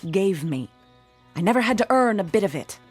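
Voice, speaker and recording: A woman's voice: medium pitch, sing-song, fairly quick, darkish tone, slightly rough, faint music behind. An actress playing a bitter, haunted, hard-drinking daughter in her forties, with a mocking theatrical manner in a radio drama. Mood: bitter sarcasm. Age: 40s. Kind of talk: dramatic radio-play acting.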